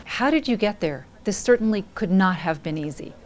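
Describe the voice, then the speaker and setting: A woman interviewer asking direct, brisk questions. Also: medium pitch, animated, darkish tone.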